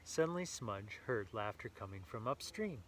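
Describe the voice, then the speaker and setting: Animated man reading a children's storybook. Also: on the low side, moderate pace, background noise. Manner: animated, enthusiastic. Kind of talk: expressive storybook read-aloud with casual asides to children.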